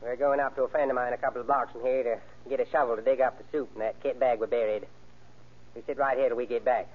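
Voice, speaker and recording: An actor playing a tough, bossy gangster with curt, slangy delivery in a 1930s radio crime drama. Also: male voice, medium pitch, fairly even intonation, quick pace, close to an everyday voice. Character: tough, bossy male gangster leading a bank job. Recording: tinny, noisy old radio recording.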